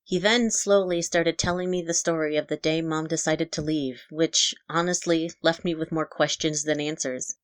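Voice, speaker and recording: Woman, low-pitched, scripted first-person narration, reflective, measured, dry close recording. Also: fairly even intonation, no room sound. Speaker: podcast writer and narrator.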